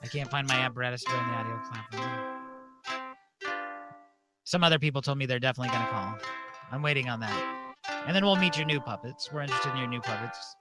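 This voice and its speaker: A man's voice: medium pitch, quick pace, long pauses. A man hosting a call-in show in a casual, chatty style. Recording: music behind the voice.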